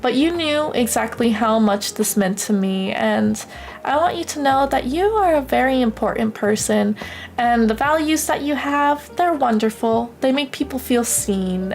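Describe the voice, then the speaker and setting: Young american girl streamer just chatting, high pitched voice, gushy and expressive, some music in the background. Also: measured pace. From United States. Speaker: live streamer. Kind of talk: casual livestream chat.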